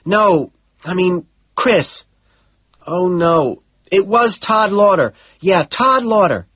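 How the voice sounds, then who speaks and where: A soft, breathy young secretary woman, as a male narrator puts her on, pitched way up high above his own voice. She sounds hesitant and apologetic, tripping over her words. The recording is a little muffled. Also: lively intonation, slow pace, no room sound, full character voice. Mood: worried. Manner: hesitant, apologetic, repeats herself.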